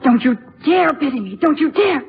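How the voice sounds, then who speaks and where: An actress playing a brittle, proud and jealous young wife in 1950s radio drama style. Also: female voice, high voice, expressive, normal speed. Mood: bitter. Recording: tinny, phone-like vintage radio recording.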